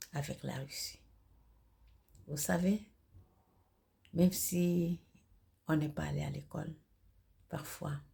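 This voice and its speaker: A Cameroonian woman with a husky, gravelly voice delivers a religious monologue in solemn, declamatory, halting phrases, with a Cameroonian French accent. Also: sits low, warm tone. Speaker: video host and self-described messenger of God.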